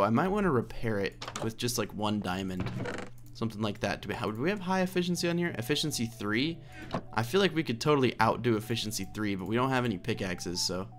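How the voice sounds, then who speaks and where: A man's voice: medium pitch, a bit bright. Man casually rambling through a game commentary. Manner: hesitant, self-correcting thinking aloud. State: slightly hoarse voice. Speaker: video game let's play host.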